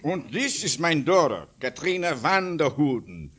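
A man's voice: fairly high pitch, very animated, a little slow, a bit bright, a little hiss or hum. A man voicing a comic, blustering old farmer with a German accent in a 1950s radio drama. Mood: flustered.

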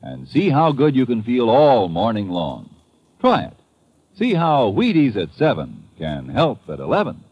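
Wildly swooping radio pitchman. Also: wildly swooping pitch, a little slow, husky, dry recording. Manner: folksy, emphatic salesmanship. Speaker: commercial pitchman. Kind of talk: 1950s radio advertisement. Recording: dull, dry old radio recording.

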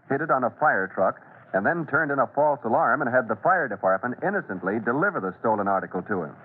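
Casting: male vintage radio serial announcer, declamatory and suspenseful, thin band-limited sound with faint hiss. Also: mid-range voice. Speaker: announcer and narrator. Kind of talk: dramatic old-time radio serial narration. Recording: noisy, tinny vintage radio recording.

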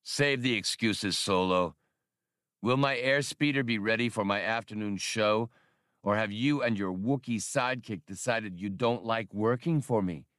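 A man's voice: lowish voice, unhurried, fairly bright tone, no echo, clean studio sound. A man voicing an arrogant young showman pilot. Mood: mocking.

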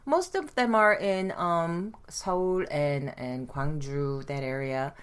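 Korean-born woman in her fifties, low-pitched, casual podcast guest who pauses with fillers. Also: clean tone. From South Korea. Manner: reminiscing, halting with fillers. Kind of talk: casual chat.

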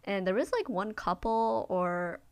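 A woman's voice: mid-range voice, expressive, unhurried, smooth. Young woman, casual chat, reflective and hesitant storytelling. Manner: reflective, hesitant, many fillers.

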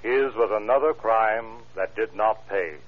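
Solemn husky male narrator, tinny old radio. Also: fairly low pitch, a little slow. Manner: formal, solemn. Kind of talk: scripted 1930s crime-drama narration. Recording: narrow, tinny 1930s radio recording.

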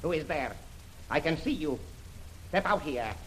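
An actor playing a courteous, shrewd Chinese shipping magnate in a radio adventure, speaking formally and in measured proverbs. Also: male voice, on the high side, animated. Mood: wary, challenging. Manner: formal, measured, proverb-laden, dropping articles. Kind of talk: old-time radio adventure acting. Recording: noisy vintage radio drama recording.

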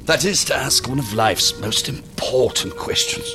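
An actor playing a flamboyant, deranged self-styled captain who declaims in florid, volatile style in a science fiction audio drama. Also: male voice, huge pitch swings, unhurried. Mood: manic, commanding. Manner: florid, declamatory, swinging between whisper-low and shouting. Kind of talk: theatrical monologue in sci-fi audio drama. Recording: music behind the voice.

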